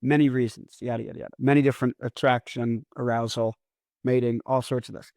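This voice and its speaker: A man's voice: mid-range voice, fairly even intonation, a little fast, creaky, a little mellow, dry recording, clean studio sound. A man hosting a podcast in a rambling, casual solo monologue. He mumbles his words and lets his S sounds drag out. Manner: rambling, self-deprecating. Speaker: podcast host.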